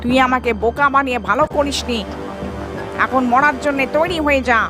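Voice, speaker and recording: A woman's voice: high-pitched, fairly even intonation, fairly quick, over music, natural voice. A woman voicing a menacing three-headed witch in a horror cartoon, speaking in threatening declarations. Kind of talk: cartoon horror story acting.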